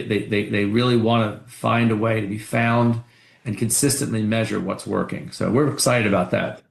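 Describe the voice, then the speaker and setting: A male executive talks through business updates in a conversational, salesy way. His mid-pitched voice has a gravelly fry, and he often doubles back on his words. The sound is roomy and echoing, like a conference call. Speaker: company executive. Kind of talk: earnings call remarks, semi-spontaneous product pitch.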